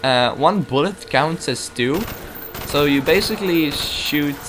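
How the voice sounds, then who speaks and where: A man's voice: fairly high pitch, lively intonation, a little slow. A young man giving casual, rambling, self-mocking commentary while playing a game. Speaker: gameplay commentator. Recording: noisy game audio behind.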